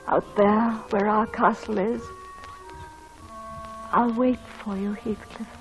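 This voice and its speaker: A woman's voice: medium pitch, level tone, takes it slowly. An actress playing a dying young woman, a tender, yearning lover in a 1940s radio drama. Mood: weak, yearning. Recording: dull vintage radio recording with music.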